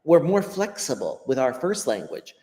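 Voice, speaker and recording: Lively Western American man in a reverberant room. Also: on the high side, moderate pace. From United States. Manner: thoughtful, repeats words while gathering thoughts. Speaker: guest English teacher. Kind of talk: unscripted explaining. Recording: long hall-like reverb.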